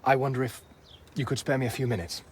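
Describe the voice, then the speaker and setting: An earnest, idealistic young doctor in a radio drama, acted by a man. He sounds troubled and speaks politely but insistently at a middling pitch. There is faint noise behind him. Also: steady tone, fast, lightly acted. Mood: tense, insistent. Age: young adult.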